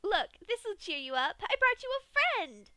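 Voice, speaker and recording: A woman's voice: big pitch swings, unhurried, sharp tone, dry recording, full character voice. A woman voicing a cheerful, sadistic female pony who chats sweetly about killing. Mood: gleeful. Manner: sing-song, bubbly, high and bouncy.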